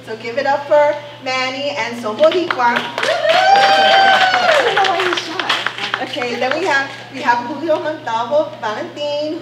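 A middle-aged woman hosting a gallery opening. She introduces guests informally and drops Spanish words into her English. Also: sits high. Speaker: event host, organizer. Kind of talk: emcee introductions at a gallery event. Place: bright gallery room, indoors. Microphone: handheld mic, recorded by a camera from a distance. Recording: echoey gallery from a distance, noisy.

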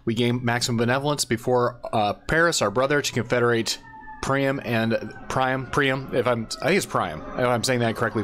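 A man's voice: medium pitch, a bit bright. A man hosting a gaming channel, giving casual commentary and explaining a strategy game step by step, unsure of how to say some names.

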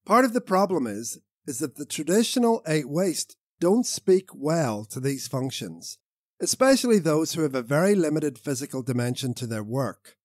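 Man, audiobook narration, slightly high voice, very varied intonation, author reading. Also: big pitch swings, normal speed, darkish tone, dry recording, studio quality.

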